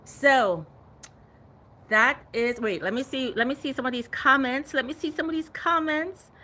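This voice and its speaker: A woman's voice: sits high, measured pace, bright, forceful tone. A woman in her fifties hosting a casual gardening livestream, chatting animatedly and reading out viewer comments. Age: 50s. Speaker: gardening channel host.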